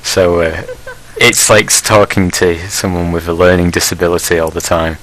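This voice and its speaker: A man with a very low voice and a bright, forceful edge to it. He chats casually on a podcast and rambles through his points with plenty of fillers and restarts. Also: fairly even intonation, moderate pace, low-quality recording. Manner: laughs at his own blunt jokes. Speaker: leader of a Prussian group in an online game.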